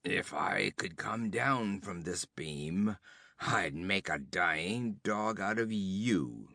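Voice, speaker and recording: A man voicing a wounded, defiant barbarian warrior who rasps and pants his words slowly. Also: deep voice, expressive, sharp tone, dry recording, studio quality, full character voice. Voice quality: rasping. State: panting, badly wounded.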